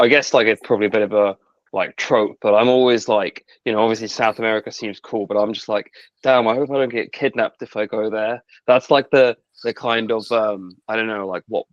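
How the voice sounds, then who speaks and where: A man's voice: on the low side, fairly bright tone, no echo, lo-fi sound. A man asking questions in a hesitant casual chat over a video call, full of fillers.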